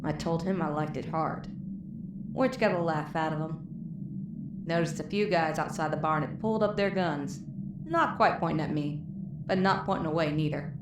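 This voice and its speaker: In a roomy, echoing recording with noise behind it, a woman acts out a bitter wasteland moonshiner woman with a low voice and a Southern US drawl. She is wounded and worn out, telling her tale in folksy, profane audio drama style. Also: normal speed, close to an everyday voice. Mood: bitter, wry. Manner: folksy, profane, weary storytelling. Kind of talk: dramatic first-person storytelling in audio drama. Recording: roomy echo, clear background noise.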